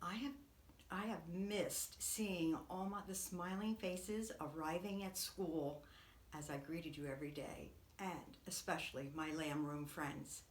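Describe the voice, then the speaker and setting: A woman, a preschool teacher, reading a picture book aloud to young children in an expressive, dramatic way. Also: lowish voice, unhurried. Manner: expressive, dramatic whispers and swoops. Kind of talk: animated read-aloud storytelling for young children. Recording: echoey room.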